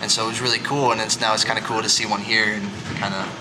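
A man's voice: on the low side, level tone, quick pace. A young man chatting casually as a podcast guest in a bike shop, rambling with restarts. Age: young adult. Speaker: guest, collegiate cyclist. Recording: noisy shop background. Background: shop noise, people working on bikes.

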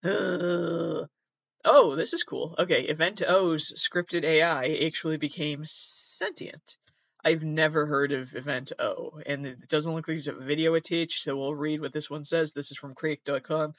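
A man's voice: fairly high pitch, fairly even intonation, unhurried, vocal fry, a bit bright. A man hosting a casual, rambling reaction commentary, with sardonic, sweary asides and muttered self-talk. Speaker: host. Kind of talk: casual rambling reaction commentary, reading aloud from web articles. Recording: roomy, slightly dull lo-fi sound.

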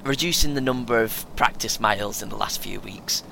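A man acting a bright-voiced, boastful young British runner. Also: a little fast, vocal fry, low-quality recording. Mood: advising, keen. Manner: earnest, self-important, chatty, chuckles often. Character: chatty, boastful, eager young amateur marathon runner. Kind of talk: audio play dialogue. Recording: faint vehicle noise behind. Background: vehicle rumble, coach ambience.

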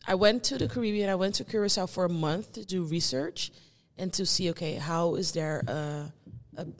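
A young woman from the Netherlands, a guest performing artist, speaking reflectively and searching for her words with many pauses. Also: on the low side, long reverb tail. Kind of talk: reflective conversation.

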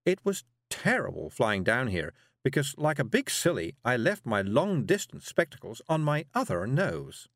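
A man doing a fussy, high-pitched lady witch. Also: big pitch swings, moderate pace, no echo, clean studio sound. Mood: worried. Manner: apologetic, dithering, genteel. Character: fussy, absent-minded, timid middle-aged woman witch.

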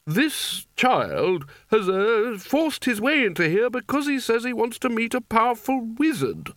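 A man's voice: a little high, big pitch swings, moderate pace, fairly bright tone, no room sound. A man voicing a fussy, disapproving storybook wizard who speaks in a prim, snappish way and is easily outraged. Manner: prim, snappish, stammers with indignation.

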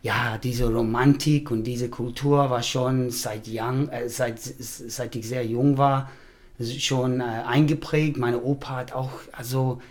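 A middle-aged man from Scotland with a Scottish accent, a podcast guest chatting casually in German, his first language English, pausing often and slipping into English words. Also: mid-range voice, steady tone, darkish tone. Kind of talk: casual chat.

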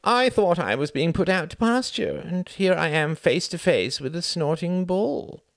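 A man putting on a high, moaning, harried politician. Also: expressive, measured pace, vocal fry, no echo, clean studio sound, heavily acted. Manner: moaning, high-strung, snappish. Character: weary, exasperated older male politician.

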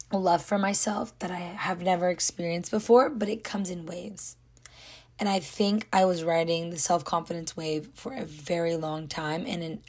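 A young woman hosting a podcast, talking candidly and rambling in an unscripted reflective monologue, her voice breathy and sometimes dropping to a whisper. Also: fairly low pitch. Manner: candid, rambling, many "like" and "you know". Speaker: podcast host.

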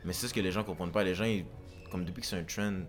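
A young man talking fast and loose as a podcast guest, circling back to the same phrases. His voice is very deep and his words tumble out quickly. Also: steady tone. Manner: rapid, repeats himself, slangy. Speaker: guest, rapper. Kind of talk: casual podcast chat.

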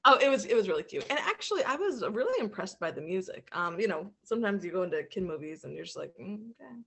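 Mid-pitched woman in casual podcast chat. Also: sing-song, gravelly, a bit bright, slightly rough. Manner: informal, halting while searching for words. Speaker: podcast co-host. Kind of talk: casual chat, giving movie reviews.

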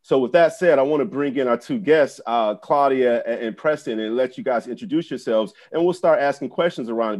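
Halting man co-hosting a podcast. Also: mid-range voice, darkish tone. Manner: halting, many fillers and restarts. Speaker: podcast co-host.

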